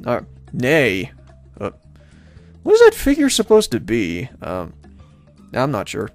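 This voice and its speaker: A man giving casual video game commentary, thinking aloud in a rambling, halting way as he plays and reads from the screen. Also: mid-range voice, huge pitch swings, faint music behind. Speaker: let's-play host.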